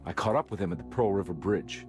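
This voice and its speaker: An actor playing a brooding, guilt-ridden, world-weary private detective in a video game, speaking in a halting noir manner. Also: male voice, sits low, darkish tone, faint music behind, lightly acted. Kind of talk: noir narration and dramatic game dialogue.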